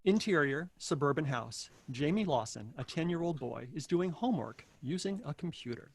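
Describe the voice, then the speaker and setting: A man's voice: fairly high pitch, animated, moderate pace, creaky, husky. A man hosting a table read, reading out introductions and stage directions. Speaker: host and narrator of a table read.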